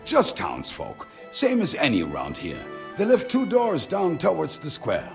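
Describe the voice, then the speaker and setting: A man's voice: a little high, wildly swooping pitch, a little slow, gravelly, faint music behind, exaggerated voice. An actor playing an offhand, guarded but helpful medieval townsman in a video game. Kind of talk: video game cutscene acting.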